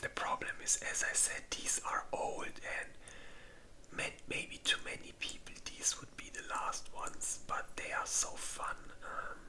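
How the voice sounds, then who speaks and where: An ASMR creator whispering in a breathy, unvoiced voice, chatting informally and thinking aloud with some hesitation. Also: a little slow, sharp tone, rough recording. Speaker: ASMR video creator and host. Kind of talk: whispered ASMR, casual rambling chat.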